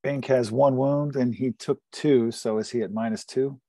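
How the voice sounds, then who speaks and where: A man's voice: mid-range voice, fairly even intonation, normal speed, mellow, dry recording. A man playing a tabletop role-playing game, talking casually about his character and rolls.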